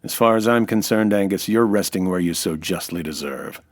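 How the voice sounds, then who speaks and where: A man's voice: low-pitched, normal speed. A man playing a wry American nightclub owner and sleuth in old-time radio noir style, dry, tough and slangy. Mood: smug, menacing.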